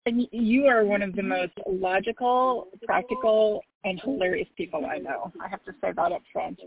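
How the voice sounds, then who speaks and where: A woman's voice: mid-range voice, normal speed, narrow, tinny audio. Lady podcast host just chatting, kinda self-deprecating, sounds like shes on a phone. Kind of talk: casual unscripted podcast chat.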